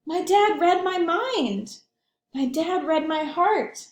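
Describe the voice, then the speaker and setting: Earnest, determined little storybook boy, voiced by a woman, high pitch, emphatic delivery, echoing room. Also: animated, takes it slowly, heavily acted. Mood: delighted. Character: spirited young boy longing for his own name. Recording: echoey room.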